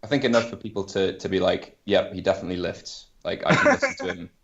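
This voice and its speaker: A man's voice: deep voice, lively intonation, fast talker, fairly bright tone, lo-fi sound. A man co-hosting a casual podcast, asking curious, chatty questions. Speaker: podcast co-host.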